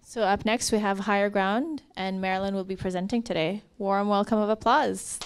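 A woman moderating a pitch event, making brisk announcements, heard from away from the microphone. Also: fairly even intonation, clean tone.

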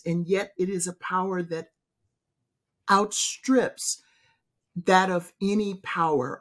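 A middle-aged woman, a professor, speaking emphatically and in a preacherly way in a casual theological discussion, recorded over a video call from a home office. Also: mid-range voice. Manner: emphatic, preacherly, gestures while talking. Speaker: professor and podcast co-host. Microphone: video call webcam.

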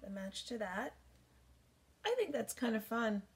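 Woman in her 40s, higher voice, very slow and unhurried, lots of ums, bedroom haul chat. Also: big pitch swings, a little hiss or hum. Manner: unhurried, thinking aloud with many ums. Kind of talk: casual show-and-tell haul video. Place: bedroom, indoors. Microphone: camera at desk distance.

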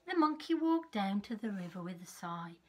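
A woman in her fifties reads a children's story in a measured, expressive way, with a slightly husky voice. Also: mid-range voice. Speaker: teacher reading a storybook to young children. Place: small furnished room at home, indoors.

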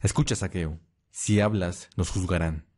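A man's voice: sits low, steady tone, a little slow, darkish tone, breathy edge. A man playing a stern, loyal vampire watchman in an audio roleplay, curt and disdainful. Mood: grim. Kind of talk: Spanish-language audio roleplay acting.

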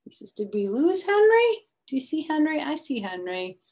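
A middle-aged woman reads a children's picture book aloud slowly and expressively, adding chatty, simple explanations for young children. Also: high voice, big pitch swings, a little mellow. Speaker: storytime reader. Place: small room at home, indoors.

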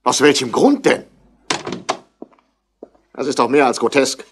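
Man playing snooty rich guy, curt and formal, very lively intonation, old radio drama. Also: mid-range voice, unhurried, background noise, close to an everyday voice. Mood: irritated, indignant. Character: haughty, irritable wealthy man, cool and indignant. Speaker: actor in a radio play. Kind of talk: 1960s German radio play acting.

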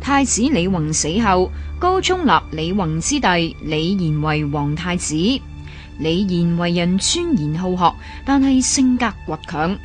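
A woman's voice: on the low side, lively intonation, a little slow. A woman with a Hong Kong Cantonese accent reading scripted historical narration. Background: background music.

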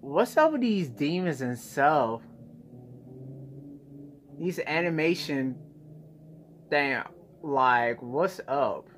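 A man's voice: fairly high pitch, animated, extremely slow, pauses often, a bit bright. A young man chatting casually as a reaction video host, informal and exclamatory, recorded on a phone in a bedroom. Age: young adult. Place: small bedroom, indoors. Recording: noisy home recording.

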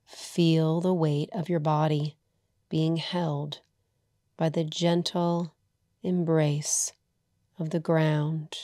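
A woman leading a guided meditation as a yoga nidra teacher, soothing and hushed, leaving long pauses between short phrases. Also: low voice, little pitch movement, no echo, clean studio sound.

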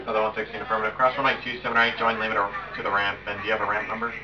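A man's voice: on the low side, little pitch movement, very fast, crowd noise. A young man with an American accent rattling off air traffic phraseology, with casual, sweary asides, recorded by a camera in a small home room. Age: young adult. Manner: casual, swears when thinking aloud. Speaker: hobbyist air traffic controller. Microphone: camera on desk a step away. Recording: muffled, noisy webcam audio.

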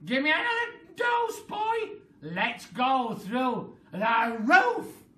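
A woman voicing a wild old grandmother in a storybook, crying out loudly in drawn-out, high shrieks. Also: big pitch swings, heavily acted. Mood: gleeful, demanding. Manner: shrieking, loud, drawn-out cries. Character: wild, gleeful old grandmother, greedy for more. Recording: roomy, distant mic, faint hiss.

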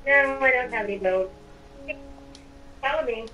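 A woman's voice: a little high, measured pace, distant mic, highs cut off, music in the background. A woman chatting casually in character during an online roleplay game. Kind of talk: casual in-character roleplay chat while gaming.

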